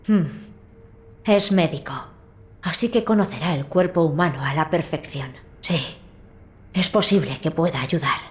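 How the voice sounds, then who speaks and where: A woman voicing a young noble child lord in a video game, speaking formally with calm, earnest composure. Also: deep voice, unhurried. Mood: thoughtful. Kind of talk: dubbed video game cutscene acting.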